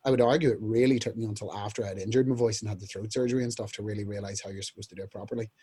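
Young male rock singer, mellow husky speaking voice, casual, rambling. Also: fairly low pitch, steady tone, racing. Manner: rambling, many fillers and restarts, clears throat often. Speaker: band singer, interview guest. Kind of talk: casual storytelling interview.

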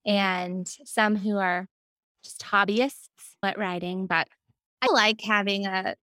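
A woman's voice: medium pitch, steady tone, slow, sharp tone, clear, resonant tone, dry recording. A woman speaking as an interview guest, explaining her experience in a reflective, conversational way. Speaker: podcast guest, book editor.

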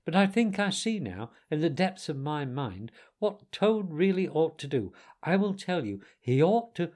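An earnest, bossy storybook rat, played by a man, fairly high in pitch. He is sensible and insistent, with animated, fussy phrasing. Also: unhurried. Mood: pondering.

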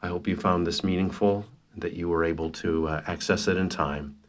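Low-voiced American male pastor, slightly dull recording. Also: steady tone, brisk. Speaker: pastor, preacher and host. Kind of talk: informal sermon introduction and prayer.

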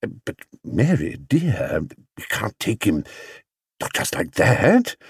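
A man voicing a proper, easily embarrassed husband in a children's storybook, halting and doubtful. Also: on the high side, huge pitch swings, darkish tone, breathy edge, dry recording, clean studio sound, pitched above the performer's own voice, full character voice.

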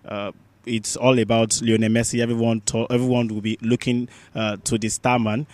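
A man co-hosting a sports roundup, talking conversationally and haltingly, often repeating his words. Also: medium pitch, no echo. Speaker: sports show co-host.

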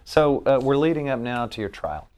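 A man hosting an interview as a congressman, asking measured, leading questions. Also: medium pitch, very animated, darkish tone. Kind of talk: interview host, reading aloud from a book.

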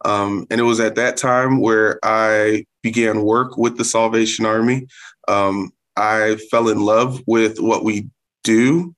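A man in his thirties with a fairly low voice, speaking as an interview guest. He grew up speaking Haitian Creole at home and talks in a relaxed, reflective way, pausing often with "um.". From South Florida, United States. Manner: relaxed, reflective, with frequent "um" pauses. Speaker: interview guest, Salvation Army worker. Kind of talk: casual interview conversation.

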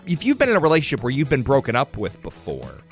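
A man's voice: mid-range voice, wildly swooping pitch, fast, faint music behind. A man speaking as a radio host, trading jokes and exclamations in a wisecracking, bantering manner.

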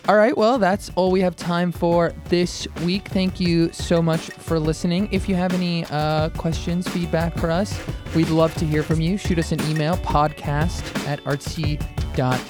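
A man's voice: fairly high pitch, steady tone, normal speed, over music. A man hosting a podcast in a casual, playful and wry way. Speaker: podcast host.

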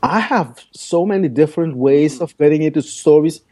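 A man's voice: a little high, normal speed, darkish tone. A man whose first language is Dutch, speaking English in a podcast interview as the guest, often repeating words as he searches for phrasing.

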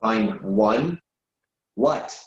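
A man's voice: fairly low pitch, animated, very slow, darkish tone. A man reading the part of a reasonable, exasperated friend in a late-night comic dialogue, hesitant and stammering in disbelief. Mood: incredulous. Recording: roomy, dull lo-fi sound.

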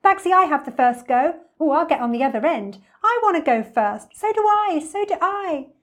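A woman voicing excited young children at play in a storybook, exclaiming eagerly. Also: high-pitched, fairly quick, highs cut off, voice pushed up.

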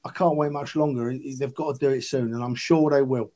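A man's voice: mid-range voice, fairly even intonation, brisk, mellow. A man of about sixty, chatting casually and earnestly as a football fan on a podcast, emphatic and matey. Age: 60s. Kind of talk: casual chat.

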